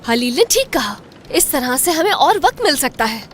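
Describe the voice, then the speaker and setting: Eager brave cartoon girl, really high lively voice, music under it. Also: normal speed, a little hiss or hum. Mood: reasoning. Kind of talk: Hindi cartoon dub acting.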